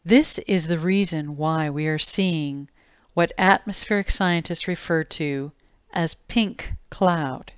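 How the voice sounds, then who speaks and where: A woman reads an explanatory voice-over very slowly and deliberately. Her voice is smooth and a little low, with a grave delivery. The recording is dry and somewhat muffled. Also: no room sound. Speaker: narrator. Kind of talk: scripted explanatory video narration. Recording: dull lo-fi close dry voice-over.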